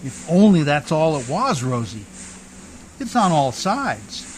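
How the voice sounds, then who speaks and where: An actor playing a rough-spoken, warm riverboat man in love, in old-time radio drama style with colloquial, hearty talk. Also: on the high side, big pitch swings, takes it slowly, slight background noise. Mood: worried, resigned.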